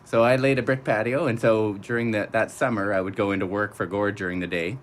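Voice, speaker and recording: A man's voice: lowish voice, fairly even intonation, brisk, slight background noise. A man speaking as a landscaper guest in a radio interview, telling anecdotes casually.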